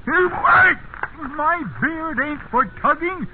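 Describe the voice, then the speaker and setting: A crotchety old English countryman, folksy and indignant, his voice very high and slow. It comes through as tinny, noisy old radio drama. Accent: rural English. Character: cantankerous, stubborn old English farmhand. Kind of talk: 1950s radio drama acting.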